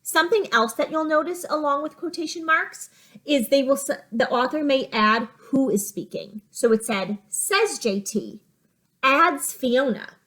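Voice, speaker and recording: A woman with a somewhat high-pitched voice speaks as a teacher to young children. She moves between reading a picture book as narrator and explaining it in plain, simple phrases. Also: lively intonation, unhurried, a bit bright. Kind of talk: reading lesson with storybook read-aloud and asides.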